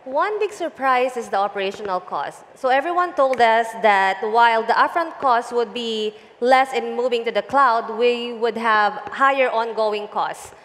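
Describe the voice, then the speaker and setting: A woman with a fairly high voice speaks in a measured, explanatory way as the guest of an onstage tech interview. She wears a headset mic on a big conference stage, and the sound carries a long hall reverb. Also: fairly bright tone. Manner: measured, explanatory, some fillers. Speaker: guest, data engineering lead. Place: large conference stage before an audience.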